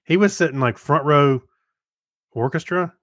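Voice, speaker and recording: A man's voice: fairly high pitch, unhurried, fairly bright tone, no echo. Man chatting casually on a dry-sounding podcast. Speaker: podcast co-host. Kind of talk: casual chat, informal reviews.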